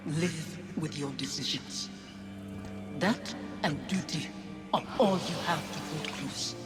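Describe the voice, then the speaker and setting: A spectral wife speaks in a lowish voice wrapped in echo. She is stern, intense and unflinching, a haunting figure from a game drama, with music clearly behind her. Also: slow pace. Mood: bitter, accusing. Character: ghostly nightmare of a bereaved wife, stern and unflinching. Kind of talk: dramatic video game voice acting. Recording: echoing voice over dramatic music.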